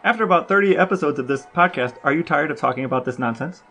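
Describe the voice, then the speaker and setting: A man's voice: on the high side, lively intonation, fast talker, bright, forceful tone, faint music behind. A man co-hosting a casual comedy podcast, asking questions in a droll, mock-serious way. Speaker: podcast co-host and interviewer.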